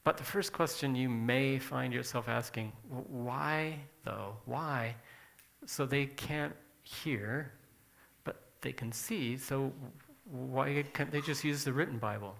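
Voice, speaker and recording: A man's voice: mid-range voice, takes it slowly, vocal fry, fairly bright tone. A man giving a casual, conversational presentation with slides to an audience. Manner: plain conversational explaining.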